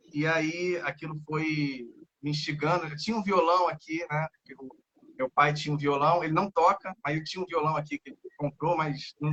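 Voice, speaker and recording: Man in his thirties, Carioca accent, bright tense voice, rambling with fillers, dull lo-fi sound. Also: on the high side. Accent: Carioca Brazilian Portuguese. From Rio de Janeiro, Brazil. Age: 30s. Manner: rambling, many fillers and restarts. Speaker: guest guitarist. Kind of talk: casual interview chat. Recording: dull lo-fi audio.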